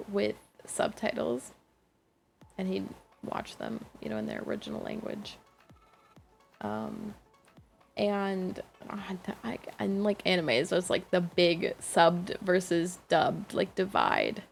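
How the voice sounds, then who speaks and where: A woman's voice: mid-range voice, slow pace, gravelly, soft background music. A young woman streaming a game, chatting casually and rambling with lots of fillers. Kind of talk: casual chat while gaming.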